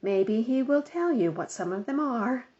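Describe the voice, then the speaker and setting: Prim female teacher for storybook, measured and polite with strained sweetness acted by a woman reader; slightly dull recording. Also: on the high side, expressive, darkish tone. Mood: politely annoyed.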